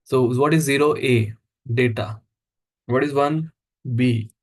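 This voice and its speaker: A man's voice: mid-range voice, takes it slowly. A man teaching a live coding lesson who walks through each step and asks the class questions as he goes. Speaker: instructor.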